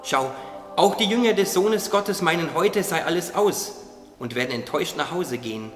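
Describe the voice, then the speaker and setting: A man voicing a wise, gently teasing caterpillar in a radio play, explaining things earnestly. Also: a little high, expressive, normal speed, lightly acted. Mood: hopeful, insistent. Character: wise, teasing caterpillar confidant, calmly preaching hope. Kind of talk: German religious audio drama acting. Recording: echoey reverberant hall, music underneath.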